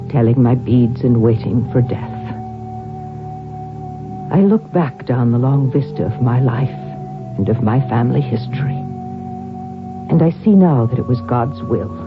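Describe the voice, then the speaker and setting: An actor playing the resigned last heir of a doomed noble family, solemnly recounting the past in a radio drama. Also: male voice, mid-range voice, natural voice. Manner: solemn, reflective. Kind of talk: 1970s radio drama narration. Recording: dull old radio recording. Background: clear music.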